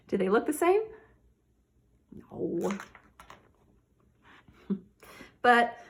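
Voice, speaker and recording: A woman teacher doing a read-aloud for children, talking directly to her young listeners in an animated way. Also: a little high, very slow, slight background noise.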